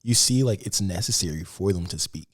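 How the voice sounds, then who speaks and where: A young American man co-hosting a podcast, talking in a relaxed, chatty way with many fillers. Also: low-pitched, fairly quick, breathy edge. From United States. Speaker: podcast co-host. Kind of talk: casual podcast chat.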